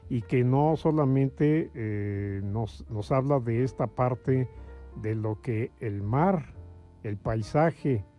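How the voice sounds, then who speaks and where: A man hosting a music interview, phrasing his thoughts in a halting, drawn-out and effusive way. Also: mid-range voice, lo-fi sound. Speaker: interview show host.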